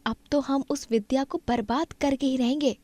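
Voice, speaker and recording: A woman's voice: high voice, steady tone, moderate pace, warm tone, dry recording, pitched above the performer's own voice. A woman voicing a young village daughter, eager to follow her mother, in a cartoon story. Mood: spiteful, loyal.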